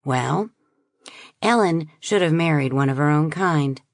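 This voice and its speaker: A man's voice: a little high, lively intonation, a little slow, dry recording, studio quality. A woman voicing a stiff, prejudiced middle-aged husband who talks in a stubborn, evasive way.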